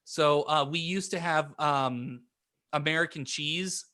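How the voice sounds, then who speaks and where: A man with a fairly high, bright voice in a casual group conversation. He rambles and hesitates, often restarting his point. He sounds like a podcast co-host talking off the cuff. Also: slow pace. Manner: hesitant, rambling, circles back on statements. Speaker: pizza shop worker.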